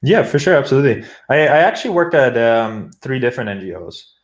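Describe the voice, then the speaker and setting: A man's voice: mid-range voice, big pitch swings, fast talker, roomy sound. A young man from Germany with a thick German accent, a guest talking casually about his work with lots of fillers and restarts. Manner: rambling, many fillers and restarts. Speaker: guest product manager. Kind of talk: casual interview chat.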